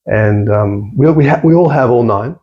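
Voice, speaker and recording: A man's voice: medium pitch, animated, moderate pace, dull audio. A man with an Australian accent, a podcast guest talking thoughtfully and repeating words as he searches for phrasing. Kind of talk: reflective casual conversation.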